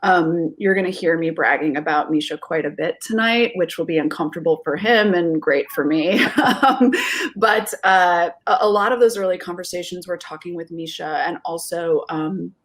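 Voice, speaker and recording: A woman's voice: fairly low pitch, moderate pace. A woman in her thirties, a theatre director, answering interview questions over a video call from a room at home. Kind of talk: interview answer about creative process.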